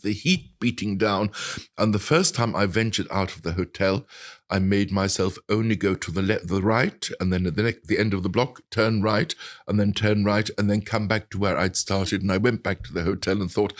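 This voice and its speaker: Older man, English accent, low-pitched, articulate, reflective storyteller, interview guest. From England. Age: older, around 70. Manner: articulate, measured storytelling with precise wording. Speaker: interview guest, translator and writer. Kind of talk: reflective anecdotal interview talk.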